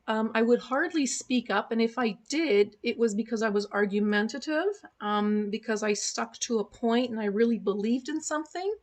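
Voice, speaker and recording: A woman in her fifties, a native German speaker raised in Canada, talking reflectively as an interview guest, with frequent ums and restarts. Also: a little high. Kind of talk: casual interview.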